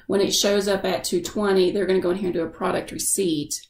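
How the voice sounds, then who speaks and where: A woman giving a casual software tutorial as a screen-share voice-over, explaining informally and thinking aloud. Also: medium pitch, level tone, fast talker, echoey room. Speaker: software instructor.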